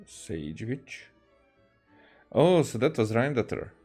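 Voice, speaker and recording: Slow, halting man commenting on a game. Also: mid-range voice, big pitch swings, mellow, breathy edge. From Hungary. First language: Hungarian. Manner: hesitant, halting, thinking aloud. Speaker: game streamer. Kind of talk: casual gameplay commentary. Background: game music.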